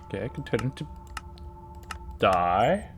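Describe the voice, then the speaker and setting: Hoarse, unhurried male game streamer. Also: fairly low pitch, big pitch swings, slight background noise. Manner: rambling, talking to himself while playing. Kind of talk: casual gameplay commentary.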